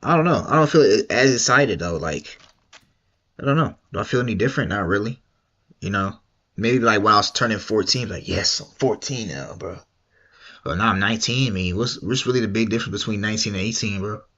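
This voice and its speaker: A man's voice: on the low side, a little fast, a bit bright, highs cut off. A young man streaming, reacting to a video in casual, slangy, repetitive chat. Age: young adult. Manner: slangy and repetitive, drawling and mumbly.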